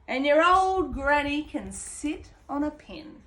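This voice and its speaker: Woman as a loud, high, rude storybook pig. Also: big pitch swings, takes it slowly, exaggerated voice. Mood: rude, annoyed. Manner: curt, loud, yelling. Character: rude, dismissive male pig shouting away a visitor. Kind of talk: children's storybook read-aloud.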